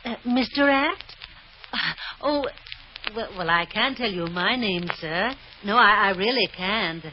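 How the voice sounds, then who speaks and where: An actress playing a scheming, domineering wife in an old-time radio drama, imperious one moment and coy the next. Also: female voice, mid-range voice, lively intonation, a little slow, close to an everyday voice. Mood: coy, conniving. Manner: imperious, snapping, sweetly coy on the telephone. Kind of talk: 1950s radio drama acting. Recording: dull, noisy old radio recording.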